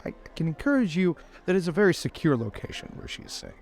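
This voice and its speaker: A man playing an awkward, eager-to-help gentleman lord in improvised tabletop roleplay, courteous, stammering and rambling. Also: on the high side, sing-song, fast. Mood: flustered, agreeable.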